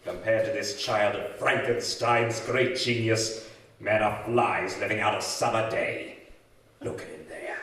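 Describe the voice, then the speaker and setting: An actor playing a fanatical, grandiose young scientist in an old-time radio horror drama, declaiming with feverish excitement. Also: male voice, medium pitch. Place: live stage show in a community hall. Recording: echoey community hall, far from mic.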